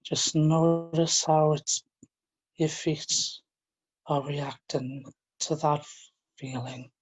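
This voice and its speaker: A man leading a guided relaxation, speaking in a gentle, informal and halting way, often repeating his phrases. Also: a little high, steady tone, husky, muffled recording. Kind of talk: guided relaxation, meditation instruction.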